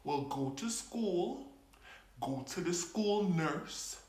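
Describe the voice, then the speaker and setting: A woman putting on her stern, slow-talking father. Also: roomy sound. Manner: slow, low, flat commands. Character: stern strict Caribbean father, blunt and unmoved.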